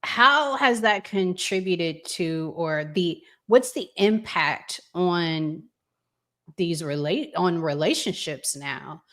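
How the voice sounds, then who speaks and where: A woman speaks as a podcast host at a markedly slow pace. She puts her questions conversationally and deliberately, building them up piece by piece. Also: fairly low pitch, lively intonation, a bit bright. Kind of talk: conversational interviewing.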